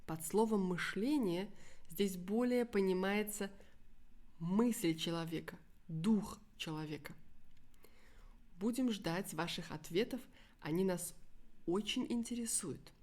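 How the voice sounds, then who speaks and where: A woman's voice: fairly low pitch, a little mellow. A woman with a native Russian accent presenting an educational podcast for language learners, articulating carefully and explaining words simply.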